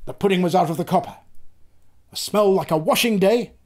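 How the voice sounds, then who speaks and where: Older guy reading a classic story out loud, theatrical, voice a bit on the high side. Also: fairly quick. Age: 60s or older. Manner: expressive, theatrical delivery. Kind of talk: dramatic literary reading. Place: small book-lined room, indoors.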